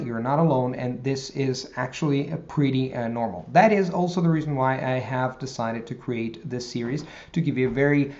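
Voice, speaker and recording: A man's voice: mid-range voice, a little mellow, muffled recording. Man teaching vocabulary tips. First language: Italian. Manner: talks straight to viewers, measured explaining with pauses. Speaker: language teacher. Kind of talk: video lesson to camera.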